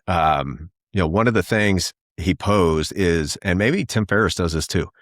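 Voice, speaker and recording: A man's voice: sits low, no room sound, studio quality. A man hosting a podcast interview, eagerly asking long, layered questions. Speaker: podcast host. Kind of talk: casual interview chat.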